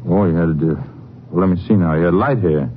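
An actor playing a folksy, evasive country feed dealer in a 1950s radio western, with a hedging, drawn-out drawl. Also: male voice, low voice, natural voice. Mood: evasive, hesitant. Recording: tinny, noisy old radio recording, hum.

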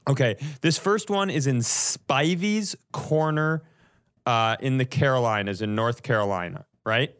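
A man hosting a podcast in casual comedic chat, telling stories in an animated way with mock-serious build-ups. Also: mid-range voice, a little slow, clear, resonant tone. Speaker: podcast host.

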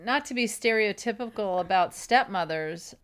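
A woman's voice: medium pitch, expressive, normal speed, fairly bright tone, smooth. A woman hosting a comedy podcast, chatting casually in a playful, teasing manner. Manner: playful, teasing, mock-defensive. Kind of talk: casual comedic chat about a documentary.

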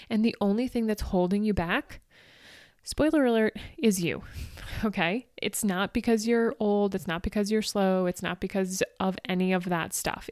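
A woman in her forties talks straight to her listeners on a motivational podcast, chatty and upbeat with frequent little laughs. Her voice sits at an ordinary middle pitch. The recording is dry and close, with no room sound. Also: a little mellow, dry recording. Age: 40s. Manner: upbeat, chatty, laughs often, addresses listeners as "you guys". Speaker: podcast host. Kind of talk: motivational solo podcast talk.

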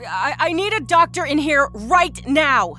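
A woman's voice: on the high side, expressive, normal speed, a bit bright, faint music behind, voice pushed up. A woman narrator voicing a harried, short-tempered nurse under strain, urgent and prone to stammering, in an audiobook. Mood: alarmed, snapping. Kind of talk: dramatic audiobook character acting.

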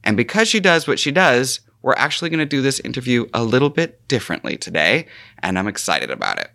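A man's voice: medium pitch, very animated, fast talker, vocal fry, bright, forceful tone, no room sound, studio quality. A man hosting a podcast, asking questions in an enthusiastic, upbeat way. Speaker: podcast host. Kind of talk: podcast interview.

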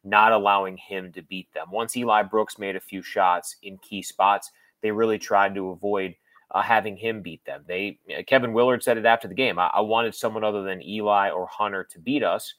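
A male sports broadcaster talks through his analysis conversationally, with an emphatic, explanatory delivery. His pitch is on the low side, and the recording is very dry, free of room sound. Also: fairly even intonation, normal speed, fairly bright tone, dry recording. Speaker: sports broadcaster, interview guest.

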